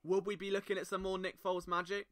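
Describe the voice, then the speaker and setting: A man's voice: high voice, level tone, fast talker. A man hosting a solo sports podcast, talking with passion and emphatic rhetorical questions. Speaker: podcast host.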